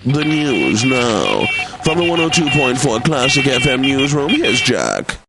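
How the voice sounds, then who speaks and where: An actor playing a pompous, drily witty classical radio DJ in a video game, with formal, over-polite, theatrical announcing. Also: male voice, medium pitch, very animated, measured pace, vocal fry, very noisy. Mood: grand announcing.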